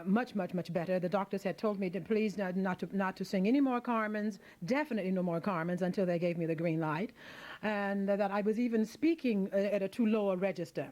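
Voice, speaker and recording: A woman's voice: mid-range voice, a little mellow. A middle-aged woman opera singer being interviewed on radio, speaking frankly and emphatically, often restarting her sentences as she thinks aloud.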